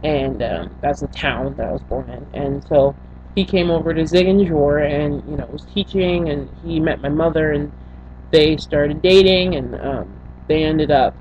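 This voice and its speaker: A young woman from Senegal tells her life story to a webcam in a small bedroom. She talks in a halting way, with many fillers and restarts. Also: low-pitched, a little mellow. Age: young adult. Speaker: vlogger. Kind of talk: personal storytelling to camera. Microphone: built-in webcam mic. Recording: dull lo-fi webcam audio with faint hum.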